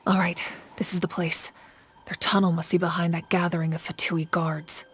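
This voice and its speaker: A woman's voice: fairly low pitch, flat delivery, moderate pace, husky. Composed young female squad leader. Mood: hushed, cautious. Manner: composed, purposeful. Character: steady, vigilant young female squad leader in fantasy adventure. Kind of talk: video game voice acting. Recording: game music behind the voice.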